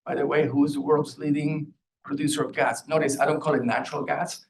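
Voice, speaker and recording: A man with a Puerto Rican accent speaks on a panel, lively and joking, and tosses questions at the crowd. His voice sits a little high for a man. Faint noise hums under the recording. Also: a little fast. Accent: Puerto Rican Spanish. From Puerto Rico. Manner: lively, jokey, quizzes the audience. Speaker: professor on a panel. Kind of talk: panel talk.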